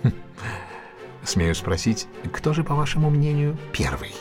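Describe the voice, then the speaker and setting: An actor playing a confident, ironic detective with a husky voice in a Russian audio drama, speaking in a deliberate, theatrical, questioning manner. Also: male voice, a little high, lively intonation, music in the background. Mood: polite, brisk.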